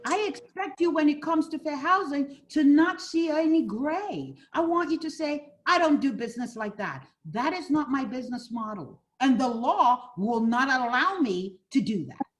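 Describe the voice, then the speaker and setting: Animated woman teaching a class. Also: high voice, moderate pace, few pauses. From Ghana. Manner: animated, role-plays buyer and agent. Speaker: fair housing instructor. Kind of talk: interactive training class.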